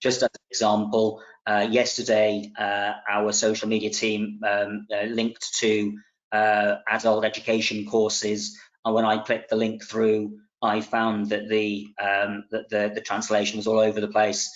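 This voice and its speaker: A man, a committee member on a remote council meeting call, speaking haltingly with many restarts. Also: lowish voice, monotone. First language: Welsh speaker. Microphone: video call. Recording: dull lo-fi remote call audio.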